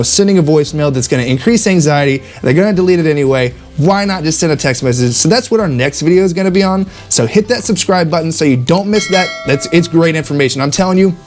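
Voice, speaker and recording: A man with a fairly high-pitched voice speaks in a casual vlog style, coaching the listener. His delivery is conversational and emphatic, and he often repeats a phrase to make it stick. Music plays behind him. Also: a little mellow. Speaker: insurance agent giving sales tips. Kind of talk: casual instructional vlog monologue.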